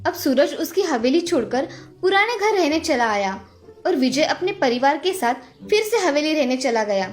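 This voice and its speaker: A woman narrates a children's tale from a script. Her voice is very high and highly animated, with expressive storytelling delivery and music underneath. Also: moderate pace.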